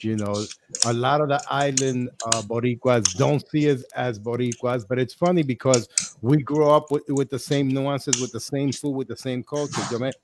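A man's voice: mid-range voice, steady tone, moderate pace. Middle-aged New man, reflective co-host, faint typing noise behind. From New York. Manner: hesitant start, then reflective, mixes in Spanish phrases. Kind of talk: casual video call chat.

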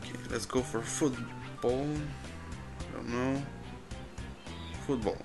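A man gives casual let's-play commentary, halting and thinking aloud as he plays. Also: medium pitch, fairly even intonation, over music. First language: Spanish. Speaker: gamer commentating his play.

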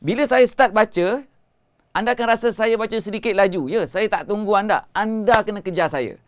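A man's voice: high-pitched, brisk, a little hiss or hum. A Malaysian man in his thirties hosting a live-streamed Quran lesson as its coach. He speaks emphatically, repeats his key phrases, and gestures as he talks. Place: studio before a green-screen hedge backdrop.

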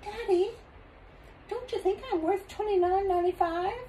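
A woman's voice: very high-pitched, big pitch swings, extremely slow, slight background noise, pitched above the performer's own voice, exaggerated voice. A woman voicing a small girl in a storybook, childlike and exclaiming, by turns indignant and pleading. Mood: quiet pleading.

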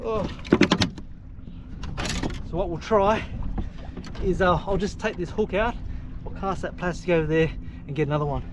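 A man in his thirties with an Australian accent, giving excited commentary while fishing from a kayak, filmed by a camera on the boat. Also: high voice, normal speed, long pauses. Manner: enthusiastic, exclaims often. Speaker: fishing vlogger. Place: kayak on open water outdoors. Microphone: action camera on the boat. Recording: loud wind and water noise. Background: water and wind.

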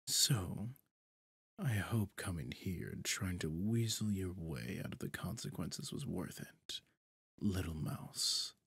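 A man playing a smug, possessive demon deal-maker in an ASMR roleplay, husky and breathy, often near a whisper, theatrical and taunting. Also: deep voice, fairly bright tone, no echo, heavily acted. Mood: cold, taunting. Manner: theatrical, taunting, slow and deliberate. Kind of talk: ASMR roleplay audio drama.

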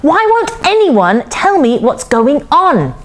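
A woman's voice: sits high, sing-song, slow pace, pitched above the performer's own voice, exaggerated voice. A woman voicing a determined, indignant young girl in a storybook, insistent and urgent. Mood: pleading, frustrated.

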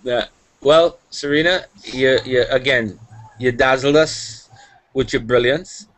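A man's voice: mid-range voice, slow pace, fairly bright tone, low-quality recording. A middle-aged man co-hosting on a video call, full of praise and often repeating words as he talks. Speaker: co-host. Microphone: webcam on a video call.

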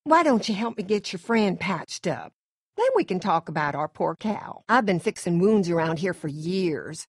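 A woman's voice: on the low side, expressive, normal speed, husky, no echo, studio quality. A woman playing a middle-aged Southern farm mother from a video game, with folksy, effusive hospitality and a controlling streak. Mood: kindly. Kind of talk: video game character acting.

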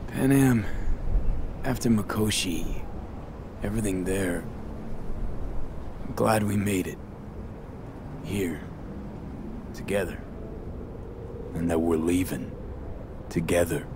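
An actor playing a weary, determined mercenary in a cinematic video game, speaking in a terse, wry, colloquial way. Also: male voice, deep voice, slow pace, long pauses. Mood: wistful. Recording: game ambience with vehicle noise.

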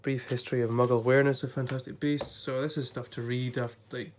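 A man's voice: mid-range voice, flat delivery, quick pace, a little hiss or hum. A man, a little off-voice while getting over an illness, flipping through an illustrated book in a casual vlog, chatty and thinking aloud. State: voice a bit off from recent illness. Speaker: YouTuber reviewing a book. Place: indoors at a table before a blue backdrop.

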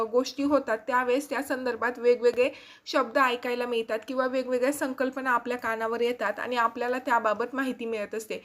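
High-pitched woman presenter. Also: a bit bright. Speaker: YouTube presenter explaining news. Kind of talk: explanatory news narration to viewers.

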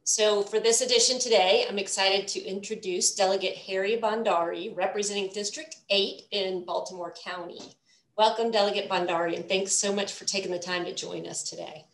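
A woman's voice: medium pitch, fairly even intonation, brisk, reverberant, poor audio. A woman hosting a video call interview, reading an introduction in a friendly manner. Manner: friendly, reads the introduction with restarts. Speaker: interview host, moderator. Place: room, indoors.

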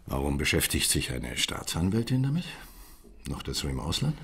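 Husky, courteous male ambassador. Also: a bass voice, sing-song, normal speed, fairly bright tone, full character voice. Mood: courteous, guarded. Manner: courteous, formal. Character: courteous, cautious male Swiss ambassador, diplomatic and measured. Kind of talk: German radio crime drama.

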